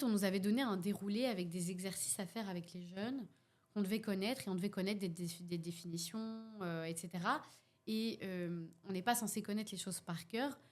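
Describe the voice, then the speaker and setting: Young woman, Belgian French accent, casual podcast talk, hesitant, many fillers, middle pitch. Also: a little mellow. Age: young adult. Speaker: podcast participant, youth workshop facilitator.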